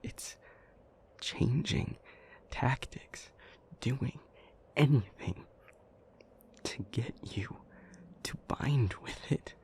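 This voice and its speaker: A man playing an intense, anguished demon in an intimate audio roleplay, speaking in breathy, halting, deliberate phrases. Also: mid-range voice. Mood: grim, intent. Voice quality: breathy, mostly whispered. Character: intense, anguished male demon, brooding and reasoning aloud.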